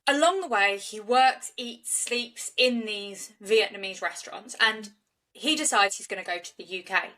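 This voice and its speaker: Bright-voiced South East London woman. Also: medium pitch, moderate pace, distant mic. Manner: chatty, jokey storytelling. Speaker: podcast co-host. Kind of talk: casual true-crime chat.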